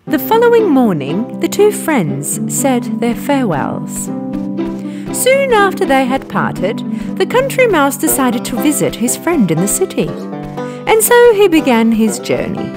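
High, very animated woman storyteller. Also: a little slow. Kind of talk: scripted children's story narration. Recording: music behind the voice.

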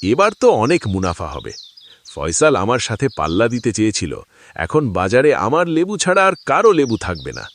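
A man's voice: lowish voice, wildly swooping pitch, a little fast, a bit bright, slight background noise, exaggerated voice. A man voicing a boastful, greedy, scheming village farmer in a cartoon, bragging and gloating. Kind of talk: cartoon voice acting.

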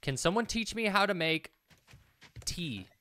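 A man's voice: sits high, animated, unhurried, pushed and bright. A man in his thirties doing casual let's play commentary, muttering to himself and swearing offhandedly. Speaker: gaming video host. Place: small indoor room at home. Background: game music.

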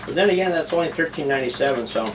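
A man's voice: fairly high pitch, lively intonation, racing. A middle-aged man casually chatting as he cooks and tastes food in his home kitchen, rambling and offhand, with many restarts. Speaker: food vlogger. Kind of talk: casual cooking and tasting vlog. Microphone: camera mic set back in the room. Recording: distant camera mic with background noise.

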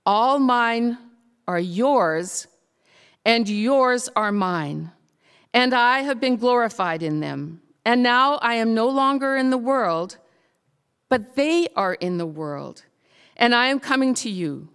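An older woman reads scripture in a formal, measured way. Her delivery is slow and phrase by phrase, in a clear voice. The church hall adds a long echo. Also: on the high side, animated, fairly bright tone. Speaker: scripture reader. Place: church sanctuary at a lectern.

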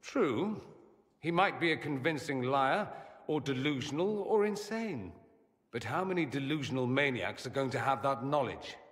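A flamboyant young mage nobleman in a video game, voiced by a man, full of arch, ironic wit and a bright, animated delivery that swoops up and down. He teases and performs every line, with a long reverb trailing behind his voice. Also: mid-range voice, normal speed, close to an everyday voice. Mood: brooding. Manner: lively, teasing, theatrical. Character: witty, vain, charming male mage nobleman, young adult. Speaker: mage companion.

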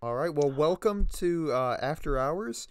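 A man's voice: a little high, animated, a little slow, clear, resonant tone, no room sound. A man hosting a podcast in a casual, chatty style. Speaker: podcast host.

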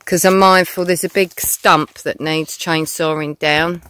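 A woman with a loud, shouty voice chatting casually to a handheld camera outdoors on rural land. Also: deep voice, fairly even intonation, unhurried. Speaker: vlogger.

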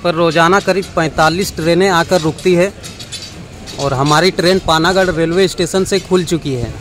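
High-voiced man vlogging from a train. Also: normal speed. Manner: informative, reels off station facts. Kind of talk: travel vlog narration. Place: inside a moving train. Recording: loud train noise behind. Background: train rumble.

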